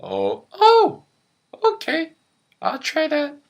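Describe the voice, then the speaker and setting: Squeaky, excitable little puppet hero. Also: extremely slow, exaggerated voice. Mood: alarmed, eager. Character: small eager hero agent, squeaky and anxious, sent on missions. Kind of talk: amateur puppet show acting.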